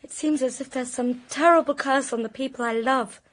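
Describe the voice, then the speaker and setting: A woman's voice: high voice, fairly even intonation, moderate pace. An actress playing a troubled, plaintive young woman in a radio mystery drama. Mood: despondent. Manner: earnest, plaintive. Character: troubled young British woman, suspected of murder, despairing.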